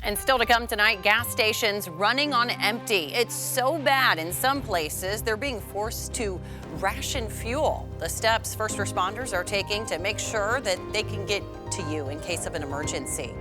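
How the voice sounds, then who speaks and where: American news anchor lady reading teasers, mid voice, music clearly playing behind. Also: medium pitch, moderate pace, hardly any pauses. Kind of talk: scripted broadcast news teasers.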